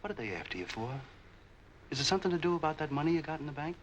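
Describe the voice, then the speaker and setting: An actor playing an eager, streetwise peddler in a 1940s film noir, chatty and slangy with an American accent. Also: male voice, on the high side, sing-song, racing, fairly bright tone. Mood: urgent, chatty. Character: eager, streetwise male peddler, helpful and grateful. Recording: faintly noisy 1940s film soundtrack.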